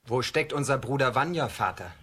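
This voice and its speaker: A surly, threatening peasant son in a children's radio drama. His mid-pitched voice is forceful and a little hoarse, with curt delivery. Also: expressive, a little fast. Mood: sceptical, curt. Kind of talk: German children's radio play acting.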